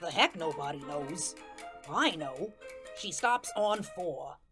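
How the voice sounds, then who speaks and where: A woman's voice: deep voice, lively intonation, slow pace, a bit bright, faint music behind, exaggerated voice. Woman as a slangy, folksy ghost storyteller. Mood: sly. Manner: colloquial, slangy, streetwise storytelling. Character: wry, folksy good-natured ghost narrator, lovestruck wise guy. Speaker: narrator. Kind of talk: first-person radio play storytelling.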